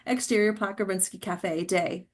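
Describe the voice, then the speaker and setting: A woman's voice: mid-range voice, fairly even intonation, normal speed. A woman narrating the stage directions at a script table read, occasionally stumbling over words.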